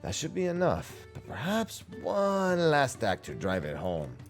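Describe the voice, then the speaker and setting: A man's voice: fairly high pitch, huge pitch swings, a little slow. A man giving casual, playful playthrough commentary on a game in a theatrical way. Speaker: game streamer. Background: game music.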